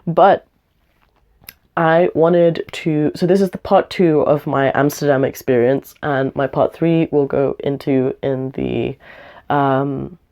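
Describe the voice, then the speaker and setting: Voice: mid-range voice, fairly even intonation, slow pace, a little mellow. A young adult vlogger telling a story in a casual, rambling way, with lots of fillers and small laughs, and a voice that is a bit off from having the flu. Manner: rambling, chatty, frequent fillers and little laughs.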